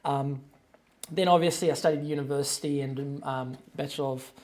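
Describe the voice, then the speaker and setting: A man's voice: mid-range voice, fairly even intonation, normal speed, a little mellow. A young man with a New Zealand accent, a podcast guest talking loosely and conversationally, with plenty of ums and "you know"s. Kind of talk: casual interview chat.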